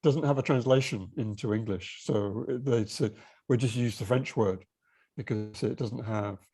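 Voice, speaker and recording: A man's voice: mid-range voice, a little slow, warm tone, breathy edge. A man speaking as a guest author in a panel discussion, reflective and restarting his sentences.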